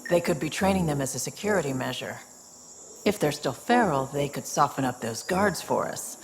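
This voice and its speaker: A woman's voice: deep voice, expressive, moderate pace, slight background noise. A woman voicing a tough, matter-of-fact alien mercenary and protective older sister in a video game. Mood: wary, practical.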